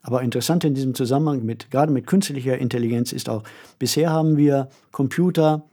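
Older man, husky mid-pitched voice, podcast co-host, reflective explaining. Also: quick pace, warm tone.

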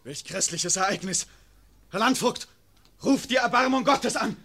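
An actor playing the governor's loyal, agitated servant in a classic radio play, earnest and declamatory. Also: male voice, high voice, a little slow, vocal fry, sharp tone, exaggerated voice. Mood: anxious.

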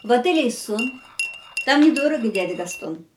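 An actress playing a fatalistic, defiant young woman in a radio drama, theatrical and wistful, with drawn-out words. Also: on the high side, lively intonation, a little hiss or hum, lightly acted. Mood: defiant, teasing. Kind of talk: radio drama acting, inner monologue and dialogue.

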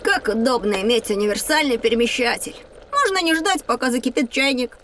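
Eager, high-voiced schoolboy. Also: female voice, a little fast, a little hiss or hum. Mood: curious. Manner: lively, boyish, inquisitive. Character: curious, eager, slightly argumentative schoolboy. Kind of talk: scripted educational audio play.

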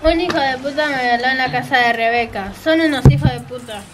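A woman's voice: high-pitched, brisk, soft background music, natural voice. A young woman playing a defiant, wounded teenage schoolgirl in an amateur radio drama. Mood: angry, shaken. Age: teens.

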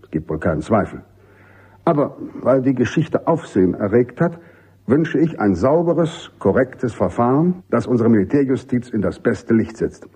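Army general, male, middle-aged: mellow, slightly rough voice, jovial authority, emphatic radio-play delivery. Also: medium pitch, very animated, moderate pace. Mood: firm, insistent. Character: commanding American army general, genial but insistent. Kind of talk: German radio drama acting.

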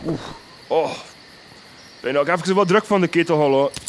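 An actor playing a boozy, lecherous village farmer with a Flemish accent, tipsy and rambling in a radio comedy drama. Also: male voice, on the high side, moderate pace, a little hiss or hum, natural voice. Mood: drunken, leering.

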